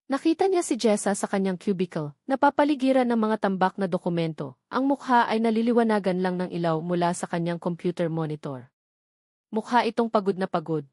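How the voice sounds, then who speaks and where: A woman's voice: mid-range voice, animated, fairly quick, a little mellow, no room sound, studio quality. A woman reading a scripted love story as a narrator, in a dramatic storytelling style. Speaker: narrator of audio love stories.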